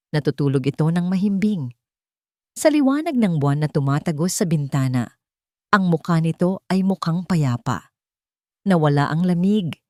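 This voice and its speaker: A woman's voice: low voice, darkish tone, no echo, clean studio sound. A woman reading a scripted, dramatic love story as a narrator.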